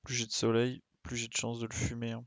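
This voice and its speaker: A man's voice: mid-range voice, monotone, quick pace, a bit bright, dry recording. A man doing casual, colloquial gaming commentary, thinking aloud and muttering to himself as he plays. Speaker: streamer playing a video game.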